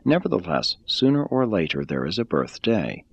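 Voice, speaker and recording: A man reading a religious text aloud in a measured, deliberate way. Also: sits low, sing-song, creaky, husky, no echo, lo-fi sound. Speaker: reader.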